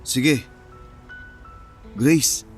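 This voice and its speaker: A man's voice: medium pitch, very slow. A man playing a cocky, defensive young womanizer in a Filipino radio drama. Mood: softened, sincere. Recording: music bed under the dialogue. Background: music.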